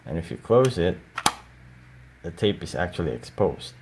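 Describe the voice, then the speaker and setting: A man giving a casual, unscripted show-and-tell in a hesitant, halting way. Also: sits low. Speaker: collector showing cassette tapes. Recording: audible steady background noise.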